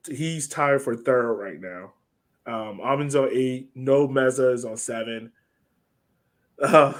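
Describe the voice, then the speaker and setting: Male podcast host, relaxed conversational sports talk, mid-range pitch. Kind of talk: casual sports chat.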